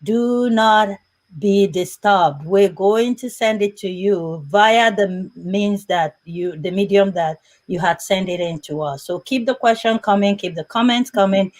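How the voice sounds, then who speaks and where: A woman's voice: mid-range voice, unhurried, darkish tone. A woman hosting a live broadcast interview as presenter. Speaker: host.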